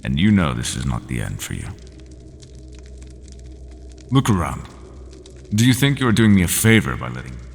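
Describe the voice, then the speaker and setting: A resigned, half-human horned monster from an audio roleplay speaks in an extremely deep, gravelly voice. His lines are formal and unhurried, with no contractions, and his pitch swings widely. The voice rings out in a long hall reverb over faint ambient noise. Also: big pitch swings, full character voice. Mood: pleading. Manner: slow, formal speech without contractions.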